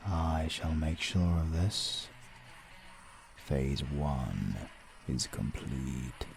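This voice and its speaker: Man as a very deep, slow, solemn time keeper. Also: expressive, creaky, slight background noise, heavily acted. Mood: portentous. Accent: British. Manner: grave, formal, measured, portentous. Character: ancient, all-seeing male keeper of time, solemn and mysterious. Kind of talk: audio roleplay voice acting.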